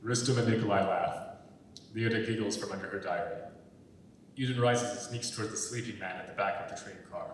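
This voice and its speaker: A man's voice: lowish voice, a bit bright. A man reading stage directions as scripted narration, heard from far away in an echoey hall. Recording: echoey hall, far from mic, faint noise.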